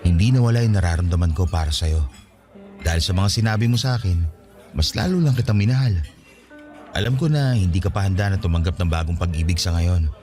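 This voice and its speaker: An actor playing an earnest young man who gently and sincerely asks a coworker for a date. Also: sits low, fairly quick, faint music behind, natural voice. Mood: tender. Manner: sincere, gentle, openly affectionate. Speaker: radio drama actor. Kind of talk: radio drama acting.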